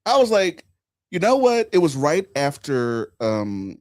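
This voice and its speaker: Animated man hosting a live chat. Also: on the high side, unhurried, no room sound, clean studio sound. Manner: animated storytelling, emphatic asides. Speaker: podcast host.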